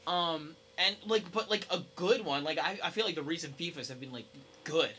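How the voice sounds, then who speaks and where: A man's voice: on the high side, moderate pace, pushed and bright, a little hiss or hum. A young man co-hosting a podcast, chatting casually in a rambling, informal way. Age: young adult. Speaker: podcast co-host. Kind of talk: casual chat.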